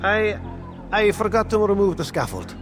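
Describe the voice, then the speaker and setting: High-pitched nervous townsman. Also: sing-song, normal speed. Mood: worried. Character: nervous, cowardly townsman with loose tongue. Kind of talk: video game acting. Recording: loud music behind the voice.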